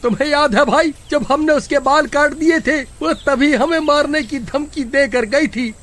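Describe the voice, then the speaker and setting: A man plays an excitable cartoon villager with a very high, sharp voice. He is anxious and fretful, exclaiming as one who is easily alarmed. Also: normal speed. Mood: anxious, urgent. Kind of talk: Hindi horror cartoon voice acting.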